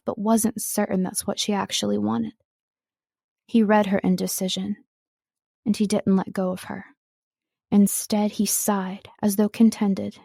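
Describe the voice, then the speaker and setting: Woman narrator with a lightly creaky voice. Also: mid-range voice, dark tone, no room sound, studio quality. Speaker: voice-over artist and audiobook narrator. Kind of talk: scripted narration.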